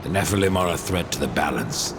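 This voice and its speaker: An actor playing a grim, resolute horseman reaper in a video game, gravelly, terse and stern. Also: low voice, lively intonation, a little fast. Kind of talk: dramatic video game acting. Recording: clear ambient noise behind.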